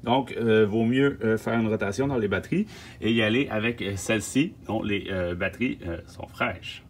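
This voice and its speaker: A man in his thirties with a Quebec French accent gives informal, emphatic instructions with hesitant pauses, speaking through a face mask in a small truck interior. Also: on the low side. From Quebec, Canada. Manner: emphatic, repeats key words, hesitant pauses. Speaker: film production logistics technician giving tips. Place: small wooden-lined storage truck interior. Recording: faint hum and background noise.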